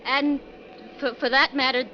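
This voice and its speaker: A nervous, troubled passenger in a vintage radio thriller. Her high voice is halting and anxious, on a muffled old recording with faint noise. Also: little pitch movement. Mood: hesitant. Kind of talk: old-time radio drama acting.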